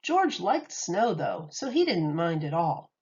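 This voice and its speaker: Teacher reading a picture book to kids: a woman, expressive and unhurried, slightly dull recording. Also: lowish voice, husky. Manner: expressive, lively read-aloud.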